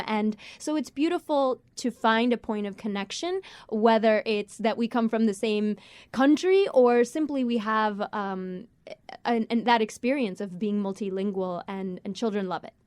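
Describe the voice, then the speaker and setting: A woman, a children's musician being interviewed, speaking thoughtfully with frequent pauses and ums. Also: a little high, darkish tone, dry recording. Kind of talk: casual interview talk.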